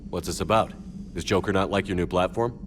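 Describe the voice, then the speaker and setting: An actor playing a direct, supportive military commander in a video game, firm and questioning. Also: male voice, deep voice, fairly quick, noisy background, lightly acted. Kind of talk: video game dialogue acting. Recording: clear vehicle ambience behind voice.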